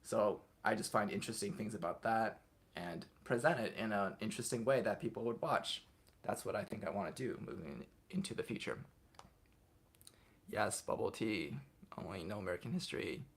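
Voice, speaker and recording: A young man hosting a casual livestream, reading viewers' comments aloud and answering them off the cuff in a relaxed way. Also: fairly low pitch, moderate pace, vocal fry, slightly rough. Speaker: livestream host answering chat.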